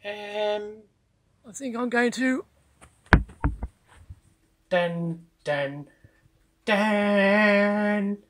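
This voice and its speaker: Lady putting on a sulky bald prophet guy, groaning, super slow and draggy. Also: mid-range voice, slight background noise. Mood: moaning.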